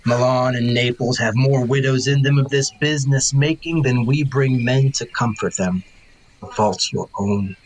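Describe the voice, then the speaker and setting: A man playing a blunt, sardonic nobleman in a Shakespeare audio drama, with a husky voice and a needling edge. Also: medium pitch, moderate pace, a little hiss or hum, lightly acted, poor audio. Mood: accusing, bitter.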